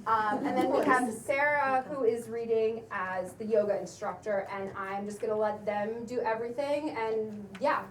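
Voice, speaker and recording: High-voiced, chatty woman hosting in echoey room. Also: steady tone, moderate pace, hardly any pauses. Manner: chatty, playful. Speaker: event host introducing a cast. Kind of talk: informal, joking welcome speech. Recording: echoey room, distant mic, clear noise.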